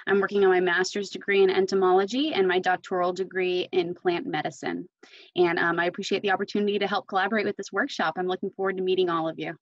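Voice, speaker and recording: A woman's voice: fairly low pitch, flat delivery, quick pace, no echo, highs cut off. A young woman graduate student introducing herself politely on a video call. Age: young adult.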